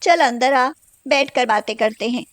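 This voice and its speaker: Woman acting confident rich lady, emphatic high voice. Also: normal speed, no room sound, clean studio sound, close to an everyday voice. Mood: friendly, concerned. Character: rich, confident woman, generous and bossy old school friend.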